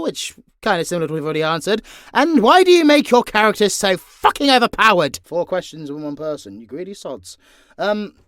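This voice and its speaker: A man's voice: fairly high pitch, a bit bright, dry recording, studio quality. A British man answering fan questions in a casual, unscripted, sweary and jokey way, as a voice actor and audio creator. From Britain.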